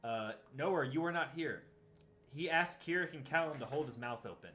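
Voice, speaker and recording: A man's voice: fairly high pitch, normal speed, bright, forceful tone, a little hiss or hum. Laid-back male game master chatting. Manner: laid-back, laughs often, trails off mid-sentence. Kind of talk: casual post-session chat.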